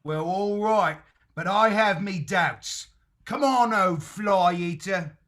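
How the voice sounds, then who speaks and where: Comic-toned asylum attendant: extremely high, sharp, grumbling, excitable, radio play. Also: male voice, slow. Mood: grudging. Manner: exclamatory, folksy grumbling. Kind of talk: theatrical radio play acting.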